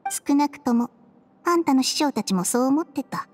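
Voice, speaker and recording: A small supernatural companion from a Japanese game, blunt and emotional. The voice is high and very animated, with rough, dramatic phrasing. Faint music sits behind it. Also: female voice, moderate pace. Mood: resigned. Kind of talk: Japanese video game voice acting.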